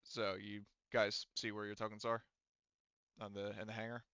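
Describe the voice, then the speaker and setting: A man running a tabletop roleplaying game, narrating casually in a halting, rambling, sweary way on a close mic. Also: lowish voice, level tone, pushed and bright, clear, resonant tone, no echo, dull audio. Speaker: game master.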